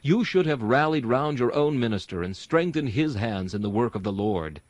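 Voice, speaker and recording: A man reads an old-fashioned sermon in an earnest, exhorting way. His pitch rises and falls expressively with the rhetoric, and the sound is dry and close, free of room echo. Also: expressive, normal speed, darkish tone. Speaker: sermon reader and host. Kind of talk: dramatic reading of a Victorian sermon.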